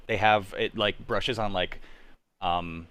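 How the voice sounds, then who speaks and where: A young man with a fairly low voice, talking casually on a livestream panel. He speaks as an enthusiastic fan with opinions to share. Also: steady tone, takes it slowly, fairly bright tone, clean tone, no echo. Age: young adult. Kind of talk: casual chat about cartoons and TV.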